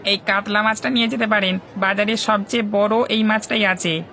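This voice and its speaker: A man plays a village market seller in a cartoon, with a high, bright, forceful voice. He is eager and persuasive, keen to please his buyers. A faint crowd murmurs behind him. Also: steady tone, moderate pace. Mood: persuasive, obliging. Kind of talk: cartoon dialogue acting.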